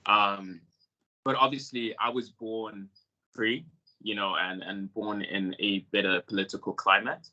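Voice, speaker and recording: A man's voice: lowish voice, fairly even intonation, measured pace, bright, forceful tone, dull audio. A young man and cast member, talking earnestly and reflectively about his family in a panel discussion, with many fillers. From South Africa.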